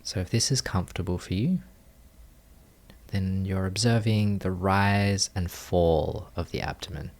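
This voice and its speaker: A man leading a guided meditation, giving gentle, calm instructions in measured phrases with long pauses. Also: deep voice, vocal fry. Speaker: meditation teacher.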